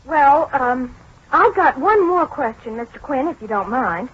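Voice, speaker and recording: An actress playing a blunt, inquisitive newspaper reporter in a 1940s radio drama, asking plain, persistent questions. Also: female voice, high-pitched, lively intonation, measured pace, fairly bright tone, slightly rough, heavily acted. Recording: dull 1940s radio broadcast.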